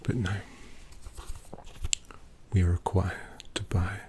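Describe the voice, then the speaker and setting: A performer in an ASMR roleplay voicing a suave, possessive vampire painter who dotes on a captive in a breathy whisper, slowly and with menacing tenderness, close to the microphone. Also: deep voice, level tone, mellow, a little hiss or hum. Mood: mock-apologetic, controlling. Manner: slow, deliberate, indulgent, menacingly tender.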